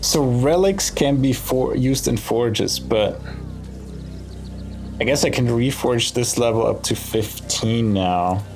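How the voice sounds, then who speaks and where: A man talks through a video game at an unhurried, slow pace. He thinks aloud as he weighs his choices, in casual playthrough commentary. Game music plays clearly behind his mid-pitched voice. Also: darkish tone. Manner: thinking aloud while deciding. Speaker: gaming video host.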